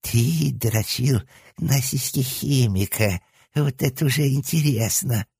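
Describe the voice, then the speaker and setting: A man's voice: medium pitch, lively intonation, slow pace, vocal fry, darkish tone, husky, dry recording, pitched above the performer's own voice, heavily acted. A man voicing the cheeky, crude left head of a three-headed hellhound, with a nasal, sleazy junkie's whine. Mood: sneering. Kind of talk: audiobook character voice.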